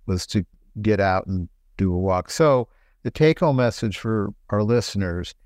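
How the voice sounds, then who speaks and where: A middle-aged man who is a doctor talks through health questions in a relaxed, explanatory way. His voice sits on the low side. It is recorded close and dry. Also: normal speed, no room sound. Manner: explanatory, relaxed, laughs lightly. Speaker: doctor and show host. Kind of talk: casual health talk.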